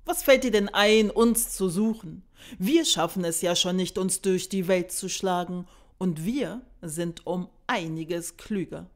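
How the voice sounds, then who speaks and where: Woman acting out loud, scornful older fairy-tale prince. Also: on the low side, unhurried. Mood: mocking. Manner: scornful, scheming. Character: two mocking, reckless older prince brothers.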